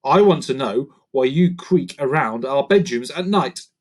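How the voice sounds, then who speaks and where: A man voicing a determined young girl in a bedtime story, raising his voice high and earnest. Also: animated, unhurried.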